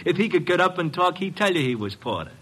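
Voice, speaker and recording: Husky, sarcastic police sergeant. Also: male voice, high voice, sing-song, quick pace, a bit bright, heavily acted. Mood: gruff, dismissive. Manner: gruff, slangy, needling. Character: tough, sarcastic male homicide police sergeant. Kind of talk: old-time radio detective drama acting.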